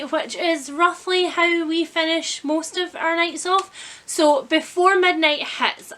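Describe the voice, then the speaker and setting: A woman with a fairly high, clearly bright voice talks to her vlog audience. She is chatty and expressive, and pokes fun at herself as she goes in a casual, homely style. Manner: chatty, self-deprecating, expressive. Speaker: vlog host. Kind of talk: casual vlog chat about a reading marathon. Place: indoors at home.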